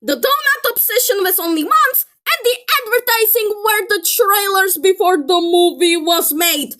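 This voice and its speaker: A woman's voice: extremely high pitch, animated, normal speed, pushed and bright. A woman giving opinionated commentary in an exasperated, emphatic manner. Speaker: video creator. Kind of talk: commentary reacting to a film critique.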